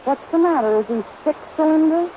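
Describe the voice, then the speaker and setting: A woman's voice: high-pitched, lively intonation, a little fast. A woman playing a tough, flirtatious saloon singer with slangy, wisecracking drawl in a 1930s radio comedy. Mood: sultry, casual. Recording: muffled, noisy 1930s radio recording.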